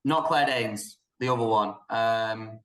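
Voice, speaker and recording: A man's voice: fairly low pitch, slow, reverberant, rough recording. British man chatting bluntly about films. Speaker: film podcast co-host. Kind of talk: casual opinionated film discussion.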